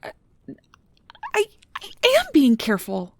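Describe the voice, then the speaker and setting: Woman acting nervous girl scientist in asmr fantasy roleplay, soft stammery earnest, bit of fry in the voice, super dry close mic. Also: sits high, wildly swooping pitch, unhurried, dry recording, heavily acted. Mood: upset, impassioned. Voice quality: breathy, soft. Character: nervous, earnest, stubbornly principled woman field researcher of magical creatures. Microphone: close mic, dry recording.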